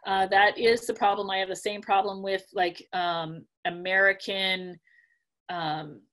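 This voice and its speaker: A middle-aged woman teaching dog grooming over a webinar video call from home, chatty with many fillers and clearing her throat as she loses her voice. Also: medium pitch, level tone, unhurried, a bit bright. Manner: chatty, many ums and likes, practical. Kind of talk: casual webinar teaching, answering questions. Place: home room. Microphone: laptop webcam video call.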